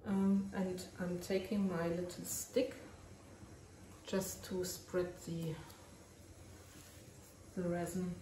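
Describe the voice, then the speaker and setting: Slow-spoken German woman, mellow and hesitant. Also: on the low side, flat delivery, reverberant, distant mic, slight background noise, low-quality recording. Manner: hesitant, searching for English words. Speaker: resin art crafter. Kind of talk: casual crafting narration.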